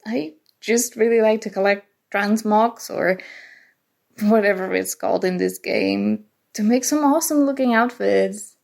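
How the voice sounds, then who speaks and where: A young woman playing an awkward, nerdy college student in an ASMR roleplay, flustered and halting, prone to self-deprecating rambling. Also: mid-range voice, gravelly, a little mellow. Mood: eager, geeky rambling. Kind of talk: ASMR roleplay, acted casual conversation.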